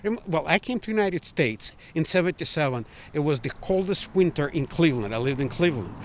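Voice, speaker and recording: A man's voice: fairly high pitch, animated, brisk, slight background noise. An older man from Ukraine with a Ukrainian accent, giving emphatic, earnest, opinionated answers as an interviewee. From Ukraine, former Soviet Union.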